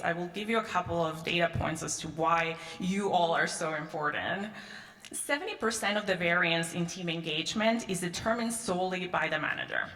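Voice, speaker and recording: A woman's voice: lowish voice, pushed and bright. A middle-aged woman from Peru giving a conference talk on stage, conversational, with frequent "um" and "right?" tags. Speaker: conference speaker. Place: large conference hall. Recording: echoey hall, long reverb.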